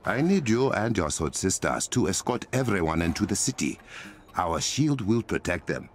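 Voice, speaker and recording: An actor playing a stern, regal warrior king in a video game, commanding, formal and measured. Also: low voice, big pitch swings, slight background noise. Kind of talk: video game cutscene acting.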